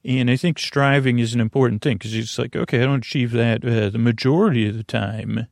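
A man hosting a bedtime podcast in a creaky, lulling voice, meandering gently through halting, self-interrupting tangents. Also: medium pitch, steady tone, no room sound, clean studio sound. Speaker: podcast host. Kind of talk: rambling, soothing bedtime monologue.

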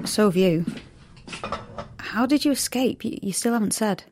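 A woman plays a guarded teenage rebel girl in an audio drama, speaking in a mid-pitched, slightly husky voice. Her delivery is wry and natural, sardonic on the surface and self-protective underneath. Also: expressive, moderate pace, darkish tone, close to an everyday voice. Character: guarded, wry seventeen-year-old girl in a resistance. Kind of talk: naturalistic audio drama acting with inner narration.

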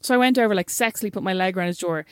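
A woman's voice: medium pitch, racing, clean tone, no room sound, studio quality. A woman, co-hosting a casual chat with her sister, telling stories animatedly and laughing often.

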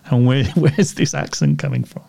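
A man's voice: mid-range voice, normal speed, creaky, dark tone, breathy edge, dry recording, studio quality. A man hosting an interview, asking questions in a jokey way and laughing as he goes. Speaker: podcast host. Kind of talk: casual interview.

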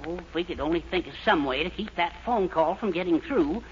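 A man's voice: high voice, brisk, lightly acted. A man playing an anxious, fretful, pleading character in a 1940s radio sitcom. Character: anxious, fretful married man named Anderson. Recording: dull, hissy 1940s radio broadcast.

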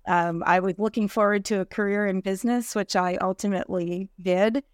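A woman's voice: medium pitch, fairly even intonation, brisk, clean tone, dry recording, clean studio sound. A middle-aged woman from New Jersey, a podcast guest who tells her story in a chatty, easy way and laughs often. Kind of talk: casual interview.

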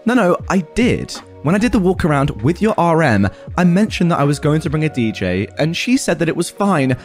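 A woman's voice: very animated, fairly quick, a little mellow, soft background music. A man voicing a whiny, indignant middle-aged mother who insists she was never told. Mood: whining. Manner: shrill, complaining, insistent.

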